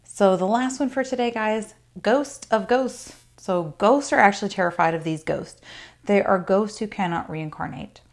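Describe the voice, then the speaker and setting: A woman's voice: medium pitch, normal speed, gravelly. A woman hosting her own podcast, talking casually and chattily about folklore with fillers and asides. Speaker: podcast host. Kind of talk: casual solo podcast talk.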